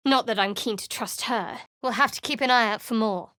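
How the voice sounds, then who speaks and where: Guarded and sardonic, this young female cleric speaks in a fairly high, dry-edged voice. Her remarks come out cool and sarcastic, recorded close and completely dry in a studio booth for a game. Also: moderate pace, sharp tone, smooth, no echo. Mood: wry. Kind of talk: video game voice lines. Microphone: close studio mic.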